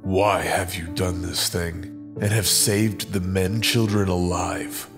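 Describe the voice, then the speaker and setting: A man playing a stern, ruthless ancient king in a dramatized scripture reading, speaking with deliberate command. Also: low-pitched, steady tone, music in the background. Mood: accusing. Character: stern, ruthless king of Egypt addressing his subjects.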